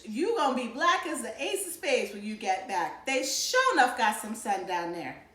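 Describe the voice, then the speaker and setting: A brash teenage boy, acted out by a woman in a storybook reading, all jive slang and exclamations. He eggs his friend on in a high, animated pitch, in a roomy space. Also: moderate pace. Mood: complaining, teasing.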